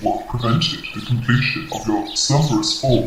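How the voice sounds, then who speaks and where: A pompous, bossy little robot villain in a comic audio drama. The modulated voice sounds distant and echoey, with faint noise. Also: male voice, medium pitch, normal speed, fairly bright tone. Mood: menacing, bossy. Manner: pompous, theatrical insults.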